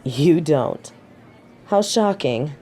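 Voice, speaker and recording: A woman's voice: deep voice, animated, extremely slow, mellow, a little hiss or hum, exaggerated voice. A woman playing a proud, haughty fallen angel of judgement in a scripted audio roleplay, dramatic and defensive. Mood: haughty, teasing.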